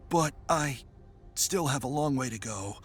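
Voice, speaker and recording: A man's voice: mid-range voice, lively intonation, unhurried, soft background music, lightly acted. A man playing a hearty, earnest male Goron warrior in a dramatic video game cutscene, with emotional, emphatic delivery. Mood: earnest, humble.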